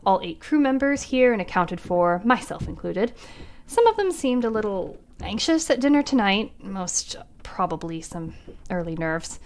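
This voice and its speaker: An actress playing a weary female ship captain recording her log in an audio drama, measured and uneasy. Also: mid-range voice, big pitch swings, slight background noise, lightly acted. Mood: calm. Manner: measured, tired, increasingly uneasy.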